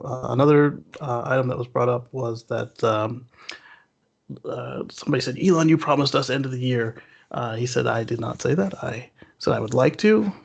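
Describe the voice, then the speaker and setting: Guy cohosting a casual news chat, kinda halting, lots of vocal fry, dull muffled recording. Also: mid-range voice. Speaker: talk show co-host.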